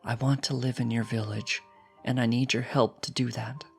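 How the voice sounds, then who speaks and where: A man's voice: mid-range voice, fairly even intonation, normal speed, gravelly, faint music behind, voice pushed up, lightly acted. A man voicing a small, timid female pony who speaks earnestly in a story reading. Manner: earnest, pleading.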